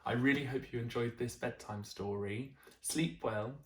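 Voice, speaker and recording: A man's voice: mid-range voice, measured pace, roomy sound, slight background noise. A man reading a children's picture book aloud as a storyteller, with dramatic emphasis and friendly asides to his listeners.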